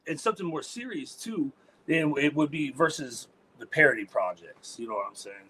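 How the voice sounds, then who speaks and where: A man's voice: pushed and bright, breathy edge, poor audio. A man being interviewed as a guest musician, talking in a laid-back way, swearing a lot and trailing off into fillers. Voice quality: deep voice. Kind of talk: casual interview chat.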